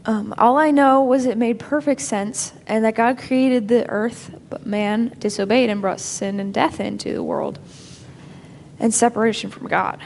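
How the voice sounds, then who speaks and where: Young woman acting as earnest eager niece, kinda high voice, slightly halting, faint noise behind. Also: steady tone, vocal fry, darkish tone. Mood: earnest, glad. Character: sincere young niece, eager new believer. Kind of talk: naturalistic dramatic acting.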